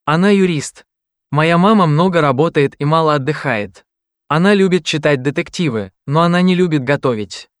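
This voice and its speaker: An actor voicing a young male student who introduces himself and his family, speaking in slow, simple, carefully pronounced sentences for a language test recording. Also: fairly high pitch, no room sound, studio quality, close to an everyday voice. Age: young adult. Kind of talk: slow scripted reading for learners.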